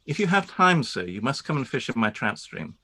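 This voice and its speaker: A man playing a reserved, proud young gentleman landowner in a radio play, speaking formally with brief hesitations. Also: mid-range voice, very animated, fairly quick. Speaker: actor in a live-read radio play. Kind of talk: period radio play acting.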